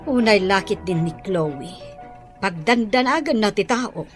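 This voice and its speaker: A woman's voice: mid-range voice, animated, measured pace, music in the background, natural voice. A woman playing a worried, fretting relative in an audio drama, with a Filipino accent. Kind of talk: Ilocano audio drama acting.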